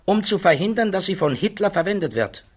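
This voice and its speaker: A man playing a thoughtful, troubled physicist testifying at a hearing in a 1960s German radio play, answering in measured, precise, guarded words. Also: high voice, lively intonation, slightly rough. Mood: earnest, explaining.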